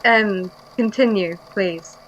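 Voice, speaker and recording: Actress as reserved, wary archivist in audio drama; terse, hesitant delivery on dull, slightly noisy audio. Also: female voice, mid-range voice, slow pace, natural voice. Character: wary, politely reserved statement-taking archivist.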